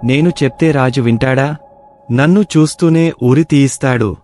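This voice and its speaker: A man's voice: mid-range voice, normal speed, darkish tone, faint music behind, close to an everyday voice. A man playing a loyal, proud outlaw thief and warrior in a dramatised folk-tale audio story. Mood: grim, resolute.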